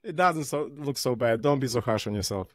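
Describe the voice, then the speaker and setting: A man hosting an interview podcast, relaxed and joking, often laughing with his guest. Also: mid-range voice, a little fast, no room sound, clean studio sound. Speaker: podcast host. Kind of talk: casual interview chat.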